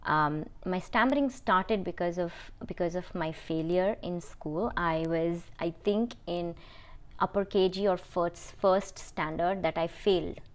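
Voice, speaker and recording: Female vlogger, middle-aged, Indian accent, lowish pitch; candid personal delivery, halting, with emphatic repeats. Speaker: vlogger answering viewer questions.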